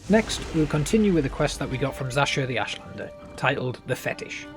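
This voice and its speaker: A man's voice: medium pitch, sing-song, a little fast. A man hosting a gaming video, narrating a walkthrough in a casual style. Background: game music.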